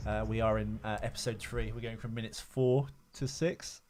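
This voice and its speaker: A man's voice: lowish voice, fairly even intonation, moderate pace, a little mellow, faint music behind. A man co-hosting a casual comedy film podcast, rambling along with crude jokes. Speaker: podcast co-host.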